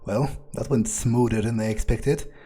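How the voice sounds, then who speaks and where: A man narrating his gameplay in character, thinking aloud in a measured, musing way. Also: mid-range voice, steady tone, warm tone, slightly rough. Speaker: gamer role-playing as his character. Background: faint music.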